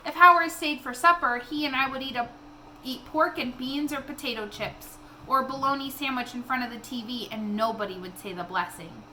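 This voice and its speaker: A woman reading a children's novel aloud in an expressive storytelling style. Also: sits high, fairly quick, slight background noise, low-quality recording.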